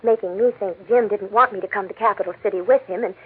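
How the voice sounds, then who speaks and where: An actress playing a young expectant wife, a gently firm grown daughter, in a 1940s radio drama. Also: female voice, mid-range voice, quick pace, husky, close to an everyday voice. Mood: hesitant, earnest. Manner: reasonable, gently protesting. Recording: tinny, muffled 1940s radio recording.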